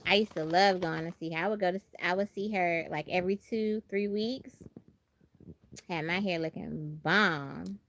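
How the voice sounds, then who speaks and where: A woman's voice: on the low side, normal speed, a bit bright, a little hiss or hum. A woman from Brooklyn with an American, country Southern-tinged accent, chatting casually and expressively as a livestream host, repeating phrases for emphasis. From Brooklyn, New York. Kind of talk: casual chat.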